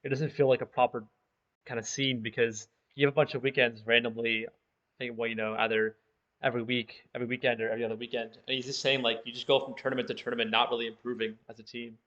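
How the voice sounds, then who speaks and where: A man's voice: mid-range voice, level tone, fast talker, fairly bright tone. A man co-hosting a gaming podcast, chatting casually with many fillers, heard over dull, lo-fi audio. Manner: casual, filler-laden talk. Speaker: podcast co-host.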